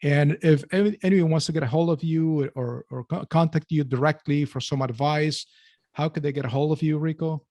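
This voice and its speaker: A man co-hosting a business podcast in casual chat, friendly and quick to joke. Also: on the high side, darkish tone, dry recording. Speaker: podcast co-host.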